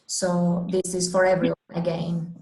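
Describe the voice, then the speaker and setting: A woman's voice: fairly low pitch, completely flat delivery, measured pace, darkish tone. A woman conducting an informal interview, asking questions as the interviewer. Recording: roomy, echoing interview recording.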